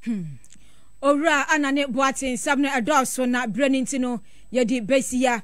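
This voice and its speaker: A woman's voice: high-pitched, steady tone, unhurried, dry recording, studio quality. A woman with a Ghanaian accent hosting a radio interview, putting questions to a guest. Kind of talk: broadcast interview.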